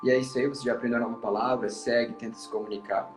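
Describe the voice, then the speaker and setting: Fast-talking man in an echoey room. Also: medium pitch, steady tone, soft background music. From Brazil. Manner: encouraging, explanatory. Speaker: English teacher and traveler. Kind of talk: casual conversation giving advice. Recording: roomy echo.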